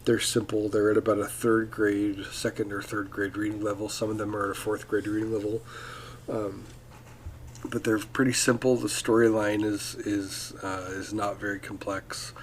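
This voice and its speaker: A man's voice: on the low side, slight background noise, low-quality recording. A man hosting a casual solo podcast, talking in a loose, rambling way full of ums and restarts. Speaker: podcast host.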